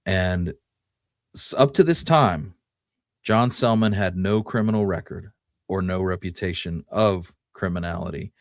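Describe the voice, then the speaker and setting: A man's voice: sits low, measured pace, no echo, clean studio sound. A man co-hosting a history show, talking casually and explaining things in an informal storytelling way. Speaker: co-host presenting research on Old West history. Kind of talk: casual conversational history podcast talk.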